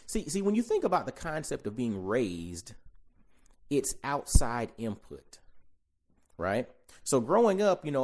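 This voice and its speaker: A man in his forties from Georgia, hosting a solo podcast in a reflective, conversational way. He repeats key phrases and slows down to stress them. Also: lowish voice, darkish tone. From Augusta, Georgia, US. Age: 40s. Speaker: podcast host.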